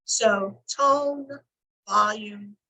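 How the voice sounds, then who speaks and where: A woman counselor on a talk show, explaining points in measured, listed steps. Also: medium pitch, creaky, bright, forceful tone, rough recording. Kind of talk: teaching talk show discussion.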